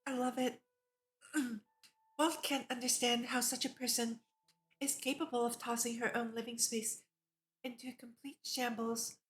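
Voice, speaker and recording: A woman's voice: fairly high pitch, level tone, a little slow, a bit bright, low-quality recording. A woman streamer reading a visual novel aloud and commenting casually as an enthusiastic fan. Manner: enthusiastic, giggly fan reactions between read passages. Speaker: VTuber streamer.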